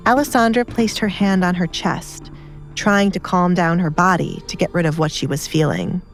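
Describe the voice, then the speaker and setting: A woman's voice: fairly low pitch, expressive, moderate pace. A woman reading scripted story narration as an audiobook narrator. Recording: music behind the voice.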